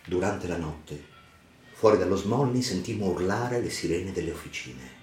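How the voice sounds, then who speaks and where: A man reads a dramatic literary narration for radio theatre in a theatrical, emphatic, measured way. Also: low voice, animated, a little mellow. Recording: roomy echo with music underneath. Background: music.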